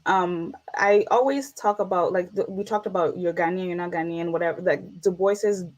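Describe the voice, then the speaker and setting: A woman's voice: on the low side, moderate pace, darkish tone. Earnest woman, interview guest. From United States. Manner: earnest, reflective, repeats for emphasis. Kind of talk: casual interview conversation.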